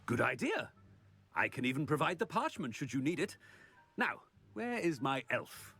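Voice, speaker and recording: A pompous schoolmaster in a fantasy game. His voice sits fairly high for a man and leaps dramatically up and down. He sounds imperious and theatrical, like an impostor relishing authority. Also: big pitch swings, normal speed, creaky, bright, forceful tone, husky, faint music behind, exaggerated voice. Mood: scornful, wry. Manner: pompous, theatrically imperious, hesitant when caught off guard. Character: student magically disguised as stern pompous headmaster, flustered then haughty. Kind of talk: video game voice acting.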